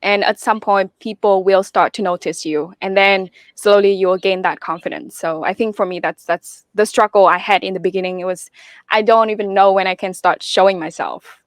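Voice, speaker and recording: A woman's voice: mid-range voice, fairly even intonation, normal speed, no room sound. A woman speaking as an interview guest, earnest and thinking aloud with many fillers. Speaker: guest, security researcher. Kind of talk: candid interview conversation.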